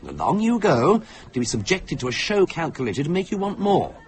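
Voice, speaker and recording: A paternal, cynical British husband in a radio play. His speech swings widely in pitch as he cajoles and insists, always sure he knows better. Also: huge pitch swings, brisk. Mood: scornful, sceptical. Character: fussy, paternal, coaxing husband, sceptical and cynical. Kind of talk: British radio drama acting.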